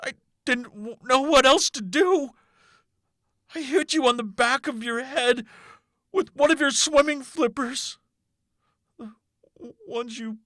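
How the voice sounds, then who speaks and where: A woman acts out a gentle father overcome with grief. The delivery is hushed, tearful and slow, with halting phrases and a dry, close sound, as in a dramatic audiobook. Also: fairly high pitch, dry recording, pitched above the performer's own voice. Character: grief-stricken, gentle father confessing to his daughter. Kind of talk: audiobook character acting.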